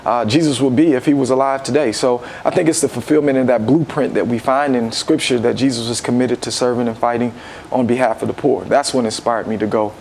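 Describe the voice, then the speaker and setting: A man's voice: mid-range voice, steady tone, brisk, a little mellow. American man explaining earnestly, some background noise. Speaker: guest, ministry founder and book-drive leader. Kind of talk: earnest interview answers.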